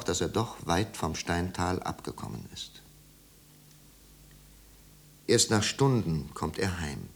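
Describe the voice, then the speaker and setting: A man narrating a literary radio drama in a measured, grave and dramatic manner. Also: low voice, creaky.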